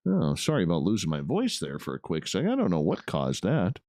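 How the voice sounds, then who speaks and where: A man's voice: deep voice, huge pitch swings, fairly quick, loud music behind the voice. A man hosting a late-night radio talk show, chatting casually and laid-back, often tacking "man" onto his sentences.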